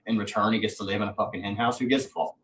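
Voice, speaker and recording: A man's voice: lowish voice, fairly even intonation, rapid-fire, fairly bright tone. A man hosting a livestream, rambling casually in a boastful way with plenty of swearing. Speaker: livestream host. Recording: roomy, distant mic, faint noise.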